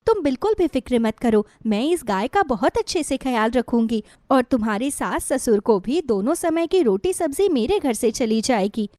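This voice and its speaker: Woman acting a gentle, earnest mother, fairly high. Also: expressive, moderate pace, hardly any pauses, no room sound, natural voice. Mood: caring. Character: poor, gentle, weary mother who sells samosas. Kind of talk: moral-story dialogue.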